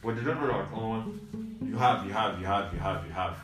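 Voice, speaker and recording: A man's voice: lowish voice, fairly quick. Nigerian man chatting casually in an echoey room. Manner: animated, slangy, repeats himself for emphasis. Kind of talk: casual banter and storytelling in Pidgin-mixed English. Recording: echoey room, distant mic, music behind.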